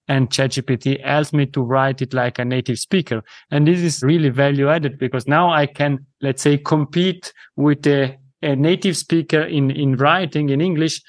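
A podcast host speaks English with an Italian accent, pausing and restarting words as he goes. His voice sits at a middle pitch, and the sound is dry and close. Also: no room sound. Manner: halting, restarts words while searching. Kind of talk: conversational interview.

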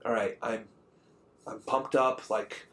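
A man's voice: mid-range voice, steady tone, slow, a bit bright. A man of about thirty co-hosting a podcast in a rambling, conversational way. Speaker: podcast co-host. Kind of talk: casual chat.

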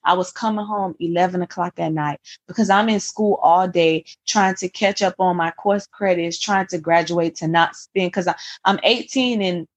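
A woman's voice: on the low side, normal speed, hardly any pauses. A young adult woman, a guest author, tells her life story in a podcast interview, earnest and reflective, often restarting her sentences. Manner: earnest, reflective, many restarts and "you know".

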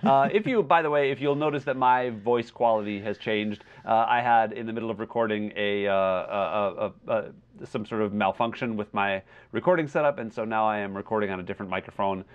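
A man's voice: fairly low pitch, clean tone. Man podcasting on a dull, lo-fi mic. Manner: halting, filler-heavy, backtracking explanations. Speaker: podcast co-host. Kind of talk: casual review chat. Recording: dull lo-fi replacement microphone.

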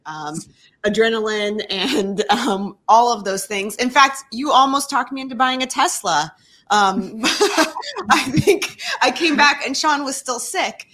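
A woman's voice: mid-range voice, pushed and bright. A woman co-hosting a podcast, chatting enthusiastically with her guest. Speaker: podcast co-host. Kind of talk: casual interview chat.

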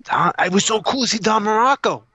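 A man co-hosting a podcast, talking casually and opinionatedly, repeating himself as he retells things in an animated way. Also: high voice, a little fast, crowd noise. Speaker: podcast co-host. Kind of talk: casual opinionated panel chat. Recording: dull lo-fi audio.

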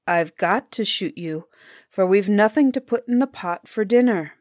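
A woman's voice: mid-range voice, lively intonation, normal speed, darkish tone, dry recording, clean studio sound, natural voice. A woman voicing a kindly but firm peasant hunter in a storybook tale. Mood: relenting. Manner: firm, mild-tempered.

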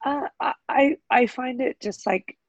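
Loud, chatty American woman. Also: high voice, a little slow, gravelly, no echo, muffled recording. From United States. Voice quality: very loud, carrying voice. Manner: chatty, animated, bursts into loud cheering. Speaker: Muay Thai coach. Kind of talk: casual interview answers.

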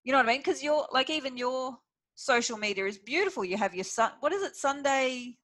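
Brief: woman host, animated and chatty, higher voice, dry close sound. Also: a little fast, no room sound. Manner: animated and chatty, many fillers. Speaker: podcast host. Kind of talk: casual interview chat.

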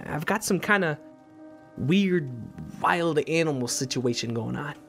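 A man playing a troubled, stubborn police detective in an audio drama, halting and repeating himself between sighs. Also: a little high, animated, creaky, a bit bright, faint music behind, full character voice. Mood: uneasy, weary.

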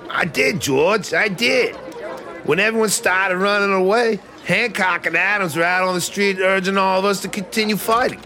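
An actor playing a brash young man from Boston with a Boston accent, telling a wild story excitedly. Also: high voice, normal speed, soft background music.

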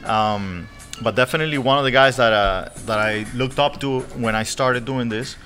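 A man hosting a podcast in casual chat, halting and repeating his words. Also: mid-range voice, pushed and bright. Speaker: podcast host. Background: music.

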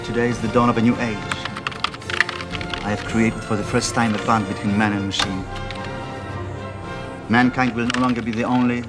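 A man's voice: fairly low pitch, level tone, moderate pace, pauses often, close to an everyday voice. An actor playing a male scientist who proudly announces a new age in a film scene. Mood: grandiose. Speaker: film character. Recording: film clip under loud music. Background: loud music.

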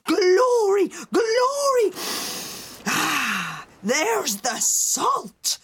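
Storybook pirate mum, woman performer: high-pitched, loud exclamations, adventurous, theatrical, very animated. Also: drawn-out words, exaggerated voice. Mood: triumphant. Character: bold, adventurous pirate mother, exultant and commanding. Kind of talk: children's storybook character voice.

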